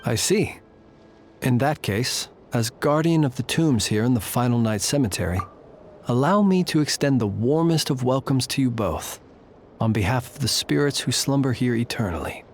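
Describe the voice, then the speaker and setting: Man voicing a courtly graveyard keeper, formal old-fashioned speech, calm measured pace, mid-pitched, game dialogue over faint music. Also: natural voice. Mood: courteous. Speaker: guardian of the tombs.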